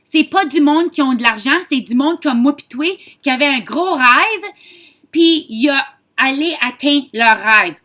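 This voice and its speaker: A woman from Ontario with a Franco-Ontarian French accent, giving a chatty, informal sales pitch and mixing in English words. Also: expressive, measured pace, bright, forceful tone. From Ontario, Canada. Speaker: direct-sales consultant. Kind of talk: casual sales pitch to camera.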